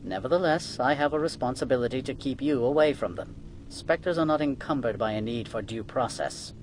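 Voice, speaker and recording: An actor playing a haughty, impatient alien bureaucrat in a video game, pompous and dismissive. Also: male voice, medium pitch, animated, brisk, slight background noise, close to an everyday voice. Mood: cold, evasive. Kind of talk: video game cutscene acting.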